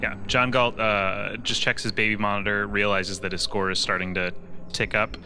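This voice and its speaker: Male tabletop player, low voice, improvising haltingly, clear background noise. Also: flat delivery, bright, forceful tone. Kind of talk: improvised in-character play and casual table talk.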